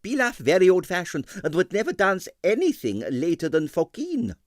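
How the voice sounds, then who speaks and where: A man's voice: high voice, huge pitch swings, moderate pace, no echo, studio quality, voice pushed up. A man voicing a sentimental, money-minded ballet impresario in a storybook, effusive and theatrical, with a thick foreign accent and broken English. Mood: dramatic, earnest. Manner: theatrical, effusive, French interjections.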